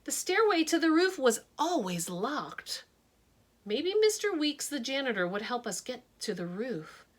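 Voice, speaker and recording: A woman teacher reading a picture book aloud, expressive and dramatic, shifting her tone for suspense. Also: sits high, measured pace.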